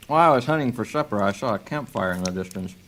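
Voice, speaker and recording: A man's voice: on the low side, animated, fast talker, fairly bright tone, slight background noise. A man playing a sardonic, resourceful fugitive in a fantasy audio drama, teasing and sarcastic. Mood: sarcastic, annoyed.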